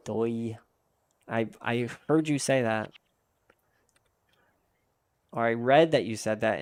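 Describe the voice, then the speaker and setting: A man's voice: medium pitch, clean tone, no room sound. A man streaming a game and chatting casually with his viewers, answering their messages aloud in a rambling way with frequent restarts.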